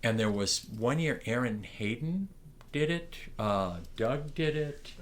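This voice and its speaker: A man's voice: medium pitch, lively intonation, slow, a little hiss or hum. A middle-aged man from Ontario, Canada, recalling his years as a morris dance barker in a rambling interview, with many restarts and fillers. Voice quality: loud projecting voice. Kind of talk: reminiscing oral history interview.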